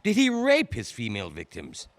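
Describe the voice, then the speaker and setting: An actor playing a male prosecutor who questions a witness in a formal, probing way, with careful pauses. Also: medium pitch, wildly swooping pitch. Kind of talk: audio drama acting.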